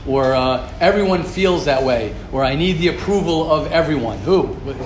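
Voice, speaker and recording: A man teaching a Torah class, taking questions and acting out quoted gossip in a lively, repetitive way. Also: on the high side, normal speed. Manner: animated, acts out quoted gossip, repeats words. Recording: echoey room, noisy ambience.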